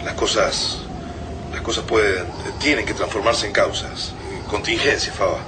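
A man's voice: on the low side, moderate pace, noisy background, lightly acted. A man with an Argentine accent playing a brave, resolute resistance leader in a radio drama, earnest and commanding. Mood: weary, resolute. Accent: Argentine, Rioplatense. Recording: studio radio play, loud dramatic music.

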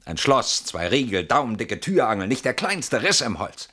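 An actor playing an anxious, suspicious, sardonic man in a German radio play, brooding and deliberate in his phrasing. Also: mid-range voice, very animated, pushed and bright, slightly rough, heavily acted. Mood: smug, defiant.

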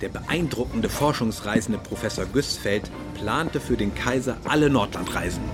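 An actor playing a matter-of-fact ship's navigation officer who gives a sober, factual account in a documentary drama. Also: male voice, fairly low pitch, lively intonation, normal speed, over music, natural voice.

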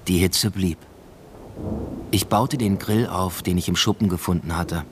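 An actor playing a brooding husband and father, narrating a literary radio drama in a measured, introspective way. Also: low voice, slight background noise. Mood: reflective.

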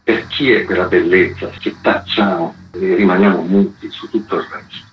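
A distant, muffled recording with faint noise carries a very low male voice. He is a theatre director giving interview answers. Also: steady tone, normal speed.

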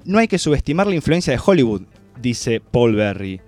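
A man's voice: mid-range voice, big pitch swings, moderate pace, darkish tone, dry recording, faint music behind. A man presenting on a radio talk programme. He moves between reading quoted text aloud and giving his own commentary on it. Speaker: radio host.

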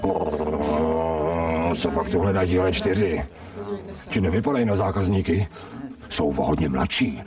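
Very deep, cheeky stray dog played by a man. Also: far from the mic, over a noisy crowd, natural voice. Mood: scornful. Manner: slangy, muttering commentary. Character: stray male dog thinking aloud, cheeky, crude, admiring of master. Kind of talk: radio drama inner monologue.

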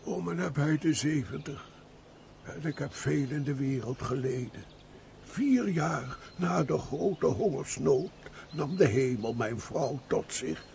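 An actor playing a pious, frail peasant of about seventy in a theatrical radio play, with an aged voice and emotive sighs. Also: male voice, on the high side, animated, a little mellow, exaggerated voice. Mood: wistful sorrow. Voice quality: creaky, aged voice. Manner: slow, emotive, full of sighs and exclamations. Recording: faint background noise, old radio play.

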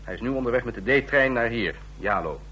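An actor playing a suave, scheming ambassador in a Dutch radio drama, speaking formally and deliberately. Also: male voice, medium pitch, animated, pushed and bright, slight background noise. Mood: conspiratorial. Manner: formal, deliberate, declamatory when toasting. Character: suave, scheming ambassador of a small authoritarian state.

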